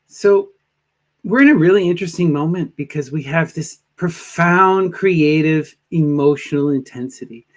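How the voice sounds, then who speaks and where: A man hosting a live tarot stream, talking in a slow, gentle way with soft trailing endings, sniffly from allergies. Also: fairly high pitch. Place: home in a wooded area.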